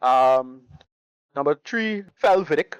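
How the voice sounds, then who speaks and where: A man's voice: a little high, animated, takes it slowly, clear, resonant tone, no room sound, dull audio. A man giving casual podcast reviews, restarting phrases often and leaning on enthusiastic slang. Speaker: podcast co-host. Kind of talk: casual chat, reviewing favourite games.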